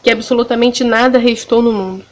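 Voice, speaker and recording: A woman with a Brazilian accent narrating an audio drama in a measured, solemn storytelling style with deliberate pauses. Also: on the high side, fairly even intonation, background noise.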